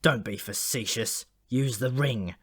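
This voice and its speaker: A man's voice: medium pitch, measured pace, fairly bright tone, breathy edge. Male narrator as sour dwarf prince, dry, sneering and grumbling, for a comic fantasy storybook. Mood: irritable. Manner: dry, scornful, complaining.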